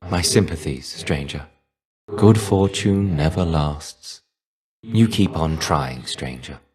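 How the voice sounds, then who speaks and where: A man playing a weary, melancholy shade of a fallen ancient Greek warrior in a video game, measured, wistful and dryly understated. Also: extremely low pitch, expressive, a little mellow, heavily acted. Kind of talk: video game character voice lines.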